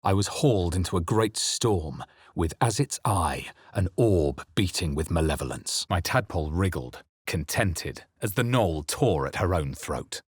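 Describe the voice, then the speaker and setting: Brooding fantasy game hero: very low register, grave and measured, dramatic delivery, close dry sound. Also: male voice, expressive, breathy edge, no room sound, full character voice. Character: brooding male fantasy adventurer hero, adult, narrating and spellcasting. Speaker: player-character voice actor. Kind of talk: dramatic video game voice lines.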